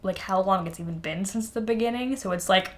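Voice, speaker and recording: A woman's voice: medium pitch, brisk. Sardonic, giggly woman with mid-range voice. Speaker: podcast co-host. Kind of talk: casual comedic banter.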